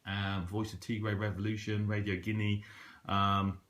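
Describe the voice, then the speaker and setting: A man's voice: on the low side, level tone, normal speed, fairly bright tone. A British man giving a casual, unscripted product review in a hesitant, conversational way. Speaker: hobbyist radio reviewer. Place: indoors at a table in a room.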